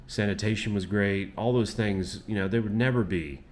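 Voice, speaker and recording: Low-voiced man rambling outdoors at dusk. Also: moderate pace, slight background noise. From Florida. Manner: rambling, heavy with fillers like "you know" and "um". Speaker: travel vlogger. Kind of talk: casual reflective monologue to camera.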